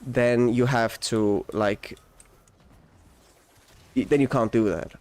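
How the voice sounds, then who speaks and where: A man's voice: mid-range voice, steady tone, slow pace, a little mellow. Austrian-born man streaming a game. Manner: rambling, frequent restarts and self-corrections; enthusiastic, laughs often. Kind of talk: casual live-stream gameplay chat.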